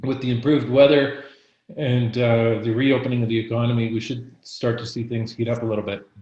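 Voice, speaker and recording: A hoarse man who is losing his voice gives a real estate market update as an investor agent, clearing his throat and restarting his sentences often. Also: mid-range voice, flat delivery, brisk, darkish tone, muffled recording. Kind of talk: market update in a live panel. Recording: roomy echo.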